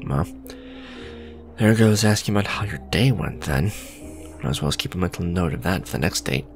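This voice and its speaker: A man playing a smug, suave villain businessman in a romantic ASMR audio drama, sardonic and conversational, teasing, with a low gravelly voice. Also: expressive, moderate pace, faint music behind. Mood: casual, warm. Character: smug male villain, suave luxury fashion businessman on a date.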